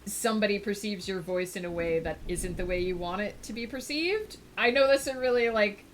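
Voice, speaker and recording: A woman livestreamer in casual chat with her viewers, rambling thoughtfully with many fillers and brightening up to greet people in chat. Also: mid-range voice, fairly quick, a little hiss or hum, low-quality recording. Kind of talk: casual chat with a live audience.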